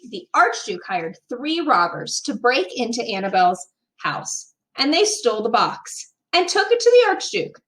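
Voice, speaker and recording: Woman, storytime teacher, high bright voice, very expressive picture-book read-aloud. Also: low-quality recording. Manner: expressive, emphatic storytelling to young children. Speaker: teacher reading a children's storytime.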